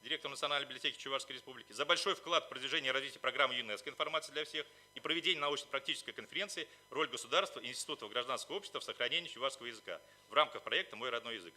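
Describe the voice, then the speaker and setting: A man's voice: mid-range voice, racing, few pauses, sharp tone. A man delivering a formal, official prepared speech as a conference speaker. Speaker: conference speaker, representative of the organizers. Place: conference hall at a library.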